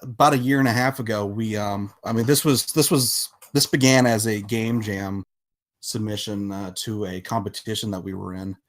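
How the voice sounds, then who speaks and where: A man speaking casually as a podcast guest, with halting, hesitant phrasing as he explains things. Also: lowish voice, steady tone. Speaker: podcast guest, visual novel developer. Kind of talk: casual interview talk.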